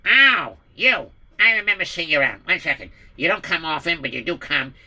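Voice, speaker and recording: A man's voice: fairly high pitch, animated, brisk, sharp tone, a little hiss or hum, full character voice. A man voicing a scheming, boastful middle-aged villain who mocks and cackles. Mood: gloating.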